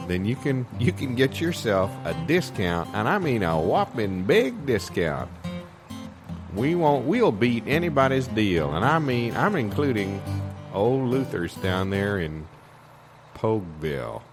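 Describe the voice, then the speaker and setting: A man's voice: on the low side, very animated, measured pace. A man playing a folksy, boastful used-car dealer with repetitive hard-sell patter in an improvised radio commercial parody. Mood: hearty, boastful. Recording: guitar music behind the voice.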